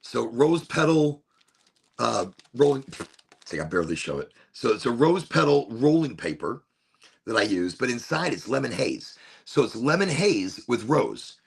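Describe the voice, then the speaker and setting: A man hosting a casual livestream, hoarse from losing his voice, talking in an upbeat, rambling, repetitive way. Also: medium pitch, expressive, unhurried. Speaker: livestream host.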